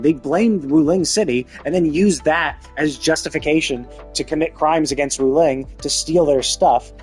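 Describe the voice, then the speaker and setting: Higher-pitched man ranting over music. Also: darkish tone. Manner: exasperated, heavy swearing. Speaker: game livestreamer. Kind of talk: casual ranting commentary on a game's story.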